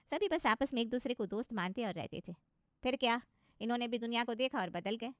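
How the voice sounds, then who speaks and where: A woman's voice: fairly high pitch, dry recording, studio quality, lightly acted. A woman voicing a gossipy, worldly neighbourhood auntie in a cartoon story, with a chatty, knowing manner.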